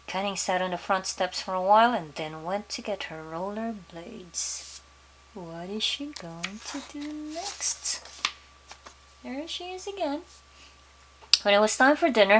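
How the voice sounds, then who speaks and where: A woman storyteller reading a children's story aloud, pausing to ask her young listeners questions. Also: mid-range voice, lively intonation, a bit bright, poor audio.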